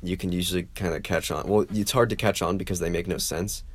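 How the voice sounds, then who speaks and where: A man co-hosting a casual podcast chat with a sore, froggy throat and a dry, joking way of thinking out loud. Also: low voice, steady tone, fast, dry recording. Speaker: podcast co-host.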